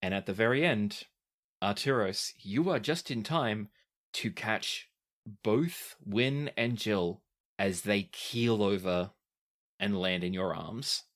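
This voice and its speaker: A man's voice: lowish voice, slow, a bit bright. Man narrating as a dramatic game master.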